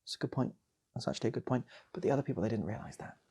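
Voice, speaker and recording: A man's voice: medium pitch, rapid-fire, warm tone, slightly rough. A man telling an improvised comic story in a loose, rambling way, repeating phrases as he goes. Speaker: storyteller and channel host.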